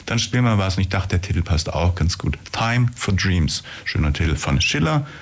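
A man's voice: low voice, very animated, moderate pace. A man hosting a conversational radio interview, rambling a little and rephrasing his questions as he goes.

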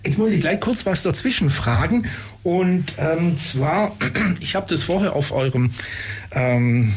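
A male community radio host with a husky, slightly breathy voice, talking in a relaxed and chatty way. He leads guests through the show from a studio mic and cuts in with quick follow-up questions. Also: a little high.

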